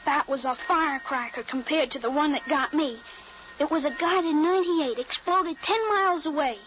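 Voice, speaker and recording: A woman's voice: high-pitched, moderate pace, soft background music, lightly acted. An actress playing an earnest, assured thirteen-year-old boy with a grown soldier's mind in an old-time radio drama. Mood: grave, determined. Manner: assured, insistent, explanatory. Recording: muffled, noisy old radio recording.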